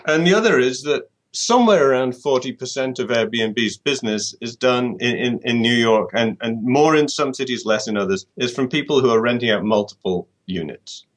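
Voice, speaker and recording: A man's voice: mid-range voice, animated, moderate pace, few pauses. A middle-aged man from the UK, answering interview questions thoughtfully and often repeating words as he restarts. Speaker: author guest.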